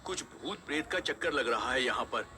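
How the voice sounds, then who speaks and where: A man playing an anxious young ambulance driver in an animated horror story. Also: mid-range voice, normal speed, faint music behind. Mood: nervous.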